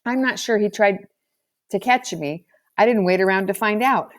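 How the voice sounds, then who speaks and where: A woman's voice: medium pitch, normal speed, no echo, lightly acted. A brash schoolboy from a storybook, voiced by a woman, full of slang and bluster. He boasts and justifies himself at every turn. The sound is close and dry. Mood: indignant, self-justifying. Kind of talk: storybook character voice.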